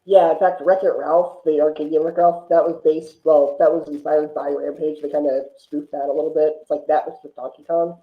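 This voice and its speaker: A man's voice: a little high, little pitch movement, brisk, hardly any pauses. A man chatting casually about films as a podcast guest, prone to chuckling. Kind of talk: casual film discussion. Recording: dull, echoey room sound.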